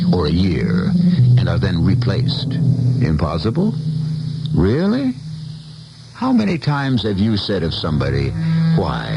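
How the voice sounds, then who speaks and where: A man hosting a 1970s radio mystery series with scripted, theatrical narration and measured rhetorical questions. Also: deep voice, gravelly, highs cut off.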